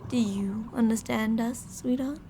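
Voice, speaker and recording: A woman's voice: a little high, fairly even intonation, measured pace, mellow, a little hiss or hum. A woman playing teasing, possessive female mad scientists in an ASMR roleplay, coaxing and domineering with sweet menace. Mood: controlling, coaxing.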